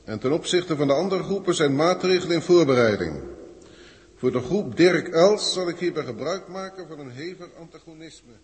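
A man's voice: fairly high pitch, animated, lightly acted. An exasperated senior alien bureaucrat played by a man in an old Dutch radio drama, with a Netherlands Dutch accent. He is pompous and pedantic, weighing his words formally, and his voice rings in a long hall reverb. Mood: formal, dictating. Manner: formal, pedantic, grumbling, deliberate hesitations. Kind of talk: 1960s Dutch radio play acting.

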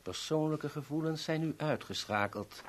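An actor playing a courteous, coolly menacing villain in a 1970s Dutch radio drama, speaking formally and politely. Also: male voice, a little high, moderate pace, close to an everyday voice. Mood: cold menace.

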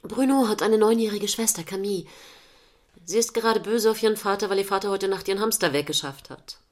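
A woman acting as a cultured mother and writer in a stage drama. She speaks at a middle pitch, choosing words with care. Her manner is earnest, articulate and stiffly polite. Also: close to an everyday voice. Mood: self-assured. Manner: measured, articulate, formally polite. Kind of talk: naturalistic stage-play dialogue.

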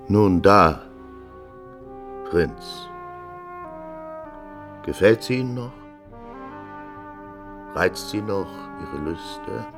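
A proud, tormented father in an old radio tragedy. His voice is deep and his delivery slow, grave and declamatory. Brass music sounds behind him. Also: animated, a bit bright, full character voice. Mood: bitter defiance. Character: stern older father, honour-bound, anguished, defiant. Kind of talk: mid-century German radio play acting, classical tragedy. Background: music with brass and horns.

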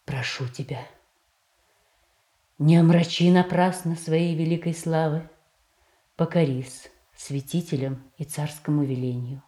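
An actress playing a dignified, gracious tsarina who pleads earnestly in stately verse for an audio tragedy. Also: female voice, deep voice, steady tone, a little slow, long pauses, warm tone. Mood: earnest pleading. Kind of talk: classical Russian verse tragedy in an audio play.

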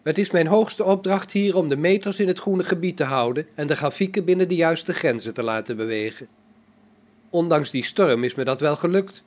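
An actor playing a self-assured robot in a radio play, speaking in a formal, condescending, matter-of-fact way. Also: male voice, on the high side, natural voice. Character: self-assured robot running a space station, coolly logical.

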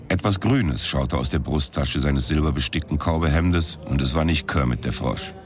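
A man playing a wry, cynical country-singer detective who narrates a radio crime drama in a deadpan, sardonic manner. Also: extremely low pitch, normal speed.

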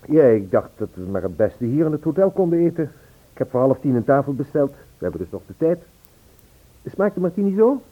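An actor playing a charming, flattering ex-husband, suave and effusive, in an old radio play recording. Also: male voice, mid-range voice, a little fast, narrow, tinny audio. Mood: genial.

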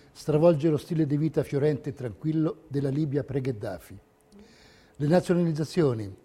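A sombre male narrator reads formal documentary theatre. The voice is a little high for a man yet very dark in tone, with a husky edge. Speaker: stage narrator.

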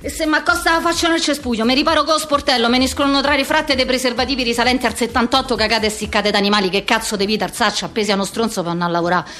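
A woman's voice: fairly high pitch, steady tone, very fast, hardly any pauses, fairly bright tone, soft background music, lightly acted. A woman performing a theatrical slang monologue as a harried, foul-mouthed office worker around fifty, with a Roman accent and a coarse, rhythmic rant. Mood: bitter, exasperated.

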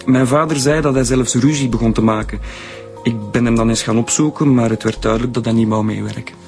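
An actor with a Flemish accent playing a stern, opinionated, bookish man in a radio drama, with a creaky voice. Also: mid-range voice, steady tone, a little fast, a little mellow, soft background music, natural voice.

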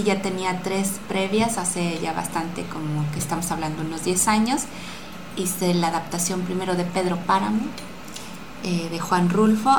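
A woman with a Mexican accent giving conversational answers as a radio scriptwriter in an interview. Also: fairly low pitch. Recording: echoey room with clear background noise.

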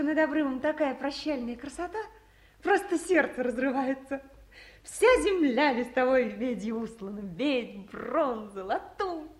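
An actress playing a romantic, impulsive young daughter, earnest and lyrical, in 1950s Soviet radio play style. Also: female voice, high voice. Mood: breathless, enraptured. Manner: girlish, lyrical, theatrical.

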